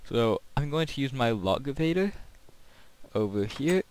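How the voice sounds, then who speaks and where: A man's voice: medium pitch, sing-song, measured pace, a little mellow, smooth. Casual male gamer narrating his play. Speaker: gaming video host. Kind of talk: casual rambling game commentary.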